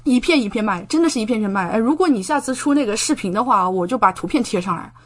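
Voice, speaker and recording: A woman's voice: fairly high pitch, animated, quick pace. A young adult woman from the Shanghai area of China, a podcast guest chatting casually and explaining with plenty of examples. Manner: chatty, explanatory, gives examples with acted-out dialogue. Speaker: podcast guest, doctoral student in Japan. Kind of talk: casual interview conversation.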